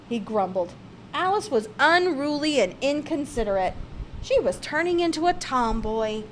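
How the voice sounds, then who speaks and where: Female storybook reader, higher-pitched and expressive, with light background noise. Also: poor audio. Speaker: storyteller reading a picture book aloud. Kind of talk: read-aloud children's storybook narration.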